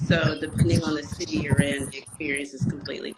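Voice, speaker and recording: A woman's voice: deep voice, little pitch movement. Chatty woman from Atlanta on a noisy call. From Atlanta, Georgia, US. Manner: quick, chatty, laughing. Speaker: guest. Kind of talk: casual interview. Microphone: video call. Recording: loud background noise on video call.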